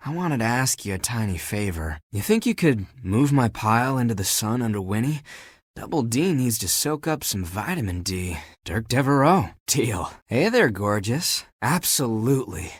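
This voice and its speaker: A man's voice: medium pitch, sing-song, normal speed, husky, no room sound. A man playing a living pile of laundry in a dating game, a flirtatious and sensitive swaggerer with a theatrical, emotive delivery. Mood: flirty, content. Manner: flirtatious, theatrical, emotive, swinging from guarded to grandiose. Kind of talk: dating game character voice acting.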